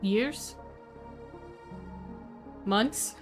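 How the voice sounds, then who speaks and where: A woman's voice: medium pitch, very slow, soft background music. A woman streaming a game, musing aloud and reacting casually to the story.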